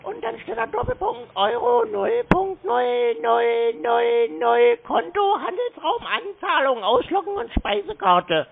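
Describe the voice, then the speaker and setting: Slow, confused, creaky voice on the phone. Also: very high voice, sing-song. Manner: confused, literal, plodding, repetitive. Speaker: prank callee stringing along a scam caller. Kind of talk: slow, naive-sounding phone conversation. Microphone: phone call. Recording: muffled, band-limited phone call.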